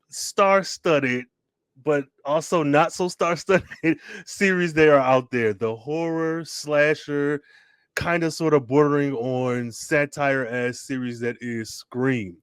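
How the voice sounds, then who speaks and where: A man's voice: on the high side, dry recording, clean studio sound. A man hosting a casual podcast chat, laid-back, laughing often and swearing casually. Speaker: podcast host.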